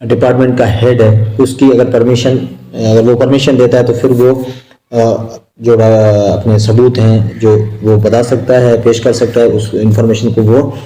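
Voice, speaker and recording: A man with a Pakistani accent giving an informal law lecture, explaining point by point in a repetitive, halting way with English terms mixed in. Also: medium pitch, little pitch movement, mellow, rough recording.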